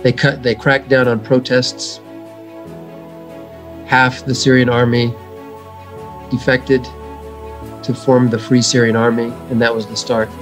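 A middle-aged man, an environmental educator, giving measured, earnest answers in a video call interview. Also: medium pitch. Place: home room.

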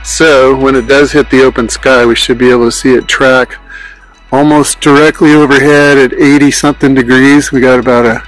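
A man's voice: mid-range voice, steady tone, moderate pace, faint music behind, low-quality recording. A man narrating a casual outdoor vlog, informally thinking aloud as he explains his radio hobby, recorded outdoors on a handheld phone. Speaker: amateur radio hobbyist filming his outing. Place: outdoors on an open hillside at dusk. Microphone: handheld phone held by the speaker.

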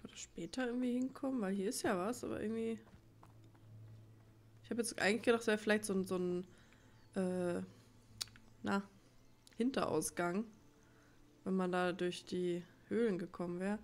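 A woman's voice: medium pitch, steady tone, normal speed, pauses often. A woman giving casual gameplay commentary, musing and thinking aloud as she plays. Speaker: let's player.

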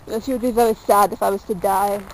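A woman's voice: medium pitch, fairly even intonation, quick pace, a little hiss or hum, poor audio. A young woman chatting while she walks and films with a handheld camera, jokey and self-mocking. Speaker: vlogger. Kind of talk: casual chat while walking. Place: outdoors on a small-town street, later indoors in a wood-paneled room. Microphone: handheld camera held close.

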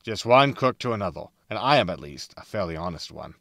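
A man's voice: sits low, expressive, fairly quick, creaky, fairly bright tone, dry recording, clean studio sound, full character voice. A man playing a roguish, wry spaceship captain with a laconic manner in a sci-fi comedy audio drama. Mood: smug, dry. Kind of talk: sitcom audio drama acting.

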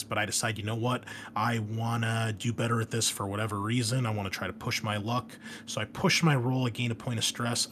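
A man's voice: mid-range voice, a bit bright. A man casually explaining tabletop game rules as a host, talking informally and directly to the listener. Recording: noticeable background noise.

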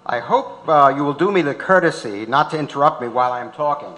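A man's voice: medium pitch, expressive, fast, a bit bright, lightly acted. A man playing a defiant, indignant old activist on trial in a staged reading of a court transcript. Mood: measured defiance. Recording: reverberant auditorium.

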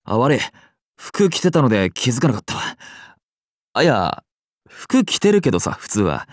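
Young game warrior, blunt, dry retorts, slangy, mid-pitched, close dry studio sound. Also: male voice, very animated, normal speed, dry recording. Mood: dry, exasperated. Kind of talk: anime game character acting.

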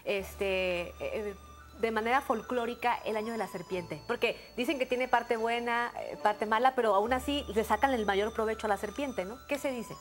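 A woman's voice: fairly high pitch, normal speed, faint music behind. A woman in her thirties co-hosting a TV studio interview, asking questions in a chatty, friendly way. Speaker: TV co-host.

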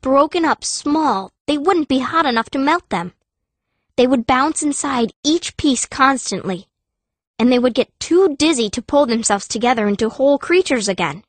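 A woman's voice: high-pitched, lively intonation, moderate pace. A woman voicing a fierce, cunning young girl mage who narrates her own story as an audiobook, with long reverb. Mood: tense storytelling. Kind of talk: first-person audiobook narration.

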